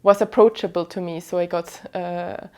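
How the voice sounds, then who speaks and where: A woman's voice: on the low side, fairly even intonation, normal speed, a little mellow, smooth. A woman in her thirties from western Austria, a native Alemannic German speaker with an Austrian accent, chatting casually and reflectively as a podcast host, a little self-deprecating. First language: Vorarlbergerisch Alemannic German. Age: 30s. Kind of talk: casual knitting vlog chat.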